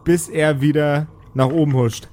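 A man narrating an improvised tabletop game as game master, feeling his way haltingly through the story. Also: on the high side, steady tone, dark tone. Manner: hesitant, halting.

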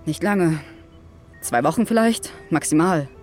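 A woman voices a pragmatic astronaut who lays out hard facts without softening them. Her voice is slightly low, with faint music underneath. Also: lively intonation, measured pace. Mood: firm. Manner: firm, matter-of-fact. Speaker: crew member. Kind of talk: audio drama acting.

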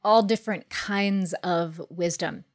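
A woman speaks as a guest on a podcast. Her voice sits a little low, and her delivery is casual and conversational. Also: a little slow. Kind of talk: casual discussion.

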